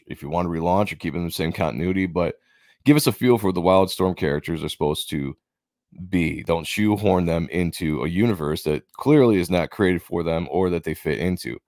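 A man hosting his own channel, giving a rambling, opinionated commentary and repeating himself, his voice going hoarse. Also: low-pitched, brisk, a little mellow, no echo, clean studio sound. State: losing his voice, coughing. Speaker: comic book channel host.

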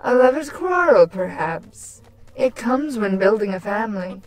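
A woman's voice: medium pitch, expressive, measured pace, darkish tone, faint music behind, lightly acted. A woman playing a doting, haughty changeling queen in a fan fiction audio drama, languid, sultry and condescending. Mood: lovestruck.

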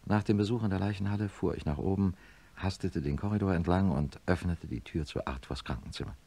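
A man playing a sober middle-aged neurosurgeon, narrating a radio drama in a measured, matter-of-fact way. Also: low voice, gravelly, mellow, slightly rough, exaggerated voice. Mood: shaken. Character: sober male neurosurgeon professor recounting his experiment.

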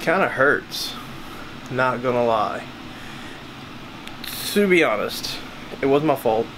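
A man's voice: medium pitch, animated, slow pace, background noise. A young man vlogging casually in a small cabin, talking at arm's length to his camera with a rambling, self-deprecating manner. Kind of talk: casual vlog chat. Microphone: camera held at arm's length.

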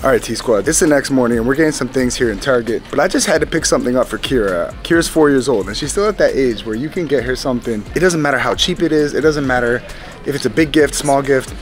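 A man's voice: mid-range voice, fast, soft background music. A man in his thirties chatting casually to viewers as a family vlogger, upbeat and talkative, recorded on a handheld camera inside a large store. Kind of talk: casual vlog chat. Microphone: handheld camera at arm's length.

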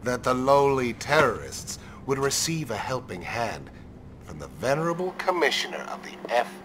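An actor playing a menacing, vengeful terrorist leader in a video game, with a theatrical, drawn-out delivery. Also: male voice, medium pitch, very animated, background noise, natural voice. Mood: sly, menacing. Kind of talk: video game cutscene acting. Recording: loud music behind the voice.

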